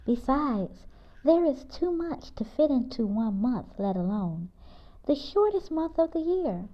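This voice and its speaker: A woman's voice: big pitch swings, a little slow. A woman voicing a proud, teacherly mother in a children's story read-aloud. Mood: proud, instructive. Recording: muffled, low-fidelity audio.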